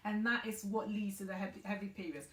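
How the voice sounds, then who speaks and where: A woman's voice: mid-range voice, fairly even intonation, fast talker. Earnest Ghana-raised woman, echoey room. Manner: earnest, explanatory, passionate. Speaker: author and interview guest. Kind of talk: conversational interview.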